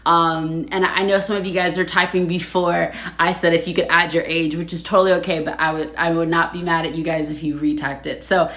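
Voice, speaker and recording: A woman's voice: fairly low pitch, level tone, a little fast, few pauses, fairly bright tone. Chatty woman livestreaming in an echoey room. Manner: chatty, laughs often, reads viewer comments aloud. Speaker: livestream host. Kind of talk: casual livestream chat with viewers.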